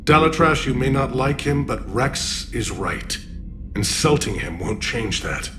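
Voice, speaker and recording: A man's voice: mid-range voice, expressive, unhurried, sharp tone. A man playing a stern, impatient alien statesman in a video game, speaking with clipped, pragmatic authority. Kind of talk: cinematic video game acting. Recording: game music behind.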